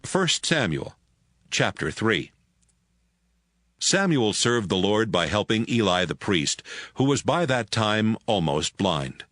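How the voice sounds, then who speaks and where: A man's voice: fairly low pitch, very animated, takes it slowly, fairly bright tone, dry recording, clean studio sound. A man narrating a dramatized scripture reading from a script, as the storyteller between acted parts.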